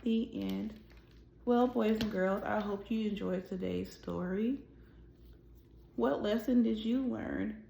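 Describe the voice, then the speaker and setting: A woman hosting a children's read-aloud, speaking deliberately and directly to young listeners as she reads a storybook. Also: medium pitch, creaky, a little mellow, slight background noise. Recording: roomy, echoing sound.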